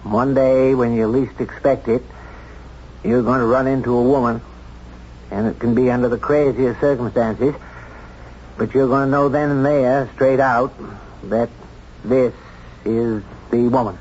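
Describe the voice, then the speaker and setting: A man's voice: medium pitch, steady tone, darkish tone, lightly acted. Folksy sheriff on muffled old radio, played by a man. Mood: halting, tender. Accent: American. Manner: folksy, halting, candid. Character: plain-spoken, self-doubting, lovestruck small-town sheriff, adult man. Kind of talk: 1970s radio drama acting. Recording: dull, noisy 1970s radio drama recording.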